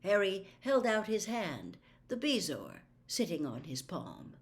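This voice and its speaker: Unhurried woman reading a story. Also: medium pitch, huge pitch swings, vocal fry. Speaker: audiobook reader. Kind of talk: expressive storybook narration.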